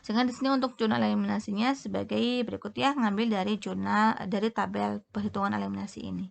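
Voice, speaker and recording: A woman explaining as an accounting tutor, in a halting, hesitant delivery with drawn-out words. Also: mid-range voice, steady tone, gravelly.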